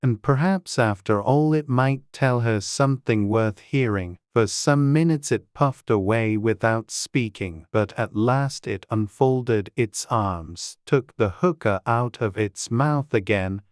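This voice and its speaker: A man's voice: medium pitch, takes it slowly, few pauses, dry recording. A man reading scripted audiobook narration as the storyteller, close to the microphone.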